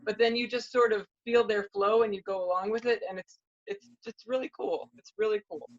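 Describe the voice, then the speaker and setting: A woman's voice: mid-range voice, steady tone, fairly quick. A woman chatting casually on an online call, enthusiastic and reflective about her work. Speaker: puppeteer. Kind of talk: casual chat. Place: remote virtual call. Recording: dull lo-fi call audio.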